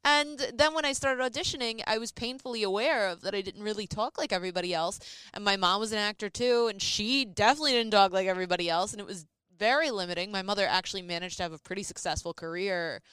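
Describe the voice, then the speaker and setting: A woman's voice: medium pitch, quick pace, a bit bright, clear, resonant tone, dry recording. A woman, an actor co-hosting a podcast, speaking in a conversational, reflective way. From Brooklyn. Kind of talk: casual discussion.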